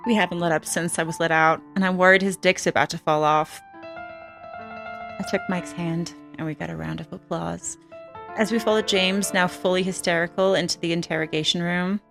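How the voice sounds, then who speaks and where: A woman's voice: on the low side, fairly even intonation, moderate pace, vocal fry, a bit bright. An actress playing a hard-boiled female ex-trafficker, narrating her own story in a frank, crude, wry way for a film. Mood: brazen. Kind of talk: first-person film narration. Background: music.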